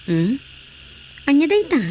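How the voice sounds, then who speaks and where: A woman's voice: high voice, wildly swooping pitch, slow pace, dark tone, exaggerated voice, rough recording. A woman playing an inquisitive village gossip who questions and scolds in a radio drama. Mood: gossipy. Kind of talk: Ilocano radio drama acting. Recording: faint background noise with crickets.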